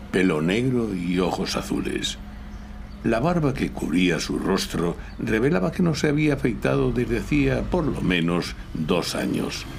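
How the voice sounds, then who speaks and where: Self-important lawyer narrator: low, creaky, deadpan delivery with lively inflection. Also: male voice, a little hiss or hum. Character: dry, self-important male lawyer narrating his case. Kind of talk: noir-parody audio drama narration.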